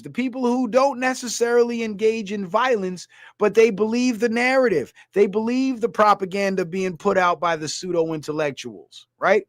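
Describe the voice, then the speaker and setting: A man's voice: high voice, no echo, clean studio sound. A man from Guyana ranting through a political commentary livestream, heated and blunt, reading viewer comments aloud. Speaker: streamer.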